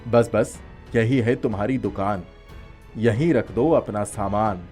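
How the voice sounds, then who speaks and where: A man's voice: on the low side, slow, a little mellow. A man voicing a helpful magical talking pakora in a children's story, giving instructions in a commanding way. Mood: urging, helpful. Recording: music playing behind the voice.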